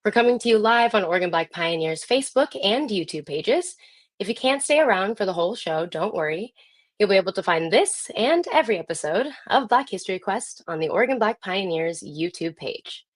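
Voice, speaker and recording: A woman's voice: medium pitch, lively intonation, fairly quick. An American woman speaking as host, reading a scripted introduction for a live online program.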